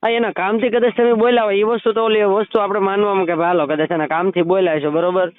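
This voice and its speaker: Dull lo-fi phone call, guy talking real fast, keeps checking you agree. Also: high-pitched, fairly even intonation, smooth. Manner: repeatedly seeks agreement with tag questions. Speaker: caller. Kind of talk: casual phone conversation.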